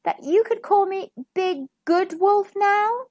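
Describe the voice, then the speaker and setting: An earnest, sensitive he-wolf from a storybook, as a woman reader puts him on. He talks theatrically, with a high, very animated delivery, eager to be liked. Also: moderate pace. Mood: shy. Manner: polite, theatrical. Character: earnest, sensitive male wolf wanting friends.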